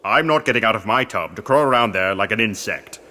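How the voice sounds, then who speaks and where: A man's voice: on the low side, expressive, fairly quick, bright, forceful tone. A man acting a pompous, lazy, grumbling archaeologist with a fussy manner in a comic audio drama. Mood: indignant. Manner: fussy, self-important.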